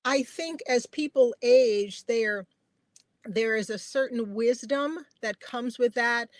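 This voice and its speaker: A woman's voice: fairly high pitch, slow, no room sound. A middle-aged woman from Guyana talking in an earnest, reflective way in a personal conversation, repeating phrases for emphasis. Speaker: podcast guest, family caregiver.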